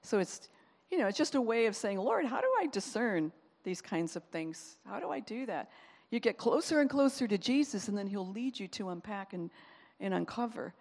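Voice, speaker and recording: A woman pastor giving a sermon, talking in an animated, conversational way and acting out quotes as she goes. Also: medium pitch, normal speed, big-room sound.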